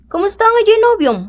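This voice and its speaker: A nosy Ilocano neighbour in a radio comedy, high-voiced and swinging widely in pitch. She is excitable and teasing, with gushing exclamations. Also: full character voice.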